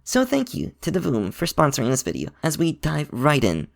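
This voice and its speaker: Lively upbeat man with a gravelly edge. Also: fairly high pitch, normal speed. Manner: enthusiastic, playful. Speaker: channel host. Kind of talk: scripted tutorial narration with a sponsor segment.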